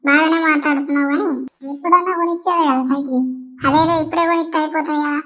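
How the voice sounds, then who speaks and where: A woman's voice: high voice, fairly even intonation, very fast, pushed and bright. A woman voicing a scolding but doting village mother in a comedy cartoon, chiding with a Telangana accent. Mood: nagging. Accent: Telangana Telugu. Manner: nagging, chiding. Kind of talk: Telugu comedy cartoon dialogue.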